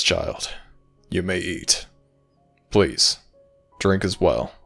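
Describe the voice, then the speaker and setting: An ancient god of the dead, played by a man in an intimate audio roleplay. His voice is extremely deep and gravelly, with a forceful edge. He speaks in formal, measured phrases, gruff yet protective. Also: exaggerated voice. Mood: gentle concern. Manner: formal, measured, archaic phrasing, addressing a listener as child. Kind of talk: ASMR audio roleplay, slow intimate dramatic monologue.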